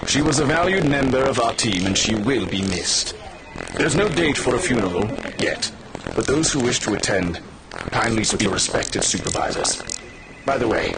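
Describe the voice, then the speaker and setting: A man's voice: mid-range voice, voice pushed up. A man voicing a formal, curt office boss who addresses his staff in clipped announcements, as read from a visual novel. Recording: clearly noisy background.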